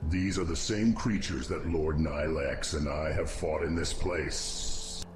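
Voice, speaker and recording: A man voicing a grave, loyal undead nerubian commander with a formal, deliberate delivery in a fantasy game cutscene. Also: rumbling low, background noise. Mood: troubled.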